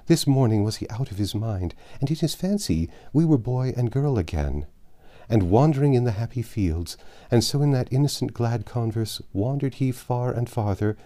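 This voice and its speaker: A man's voice: mid-range voice, mellow, lightly acted. Man voicing a dying, impassioned peasant woman. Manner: impassioned archaic speech. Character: dying peasant woman, gaunt, grieving, bitter and grateful.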